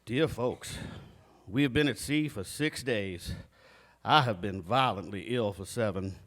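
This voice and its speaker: A man's voice: fairly low pitch, unhurried, fairly bright tone. An actor playing an earnest young adventurer reading out his letters home in a live stage drama, plain-spoken and declamatory. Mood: wry.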